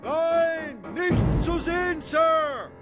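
Very high-pitched, dutiful whaling ship's officer. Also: male voice, drawn-out words, raised voice, exaggerated voice. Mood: urgent shouting. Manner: calling out orders and replies. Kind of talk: radio drama acting. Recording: music and crew clamour behind.

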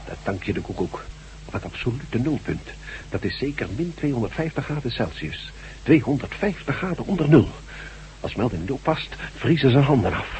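A male actor plays a learned doctor in a radio drama, excited by scientific discovery. He lectures emphatically at a medium pitch. The recording has some background noise. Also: normal speed, natural voice. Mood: amazed. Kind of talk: Dutch radio drama acting. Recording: echoey, noisy radio play recording.

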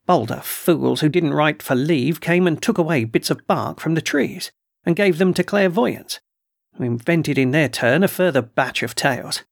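A man reading aloud and voicing an excitable, blustering military colonel with emphatic outbursts. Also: fairly high pitch, normal speed, vocal fry, no echo, clean studio sound. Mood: agitated. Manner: agitated, emphatic, snorting outbursts. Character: blustering excitable middle-aged male colonel.

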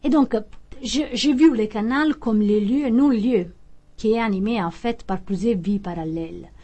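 A woman's voice: medium pitch, normal speed, warm tone. A woman radio interviewer whose first language is Italian asks friendly but hesitant questions.

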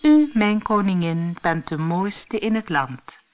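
A woman's voice: on the low side, sing-song, slow pace, darkish tone. A woman voicing a solemn, truthful magic mirror in a fairy tale audiobook, stately and declaiming.

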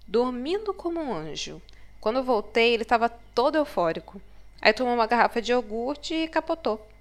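A woman's voice: a little high, sing-song, moderate pace. A woman with a Brazilian accent playing a caring, slightly exasperated woman in a naturalistic horror audio drama. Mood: casual, exasperated.

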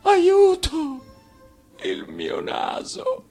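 Frantic storybook gentleman, played by a male reader, shrill high wails and shouts, wildly swooping, music behind. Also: wildly swooping pitch, extremely slow, vocal fry, exaggerated voice. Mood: panicked. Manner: wailing, shouting exclamations. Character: frantic gentleman chasing his runaway nose.

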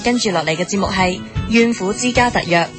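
A woman's voice: medium pitch, brisk. A woman with a Hong Kong Cantonese accent reading scripted promotional announcements as a station announcer. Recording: loud music under the announcement. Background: prominent music.

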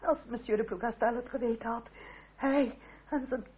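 A thin, phone-like old radio recording with faint noise. An actress plays an anguished aristocratic mother in a high voice, faltering and pleading in full melodrama. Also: steady tone, moderate pace, lightly acted. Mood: hurt, pleading. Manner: faltering, pleading, breathless exclamations. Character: anguished, timid noble mother pleading with estranged son.

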